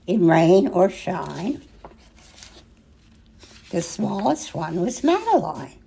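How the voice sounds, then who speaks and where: Older female storyteller, very creaky, mellow tone, expressive children's read-aloud with dramatic pauses. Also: fairly low pitch. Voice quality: husky, gravelly. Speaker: storyteller reading a picture book aloud.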